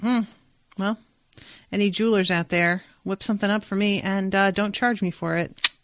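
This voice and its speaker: A woman's voice: medium pitch, fairly even intonation, normal speed, fairly bright tone, clear, resonant tone, no room sound. A woman hosting a podcast, talking casually and informally with playful, opinionated asides. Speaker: podcast host. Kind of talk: casual chatty podcast discussion.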